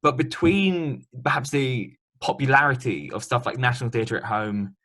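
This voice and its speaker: A man's voice: medium pitch, normal speed, a bit bright. Chatty male interviewer. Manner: chatty, loose, with many ums and likes. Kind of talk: remote interview.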